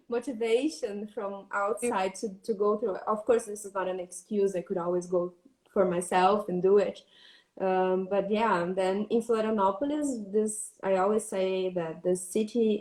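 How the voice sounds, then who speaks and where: A woman's voice: mid-range voice, darkish tone. Earnest Brazilian woman in an echoey room. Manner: open, earnest, laughs often. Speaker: guest. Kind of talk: casual interview chat.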